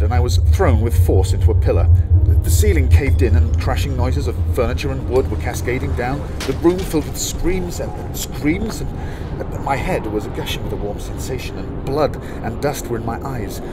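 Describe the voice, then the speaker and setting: A man's voice: medium pitch, close to an everyday voice. A man playing a haunted, lovesick passenger. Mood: frantic, urgent. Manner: intimate, lyrical storytelling. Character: lovesick male ship passenger, haunted and devoted. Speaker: narrator-protagonist of an audio play. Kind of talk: first-person audio drama monologue. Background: loud music score.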